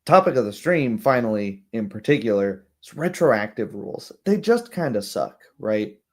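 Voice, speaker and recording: A young man hosting a livestream with casual commentary, speaking in a rambling, halting way and often checking in with tag questions. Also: medium pitch, big pitch swings, darkish tone. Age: young adult. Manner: rambling, halting asides, frequent "right?" tags. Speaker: livestream host.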